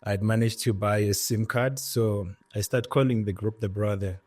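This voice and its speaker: A man's voice: lowish voice, steady tone, normal speed, darkish tone. A man from Zimbabwe, a guest recounting his journey in a podcast interview, earnest and reflective, with many "you know" fillers. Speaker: guest entrepreneur.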